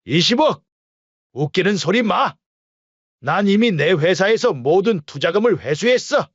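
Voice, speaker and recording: A man playing a stern, middle-aged husband who speaks in a cold, curt, commanding way. The voice sits fairly high for a man, and the recording is dry and somewhat muffled. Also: animated, a little slow, no room sound. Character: cold stern wealthy father-in-law.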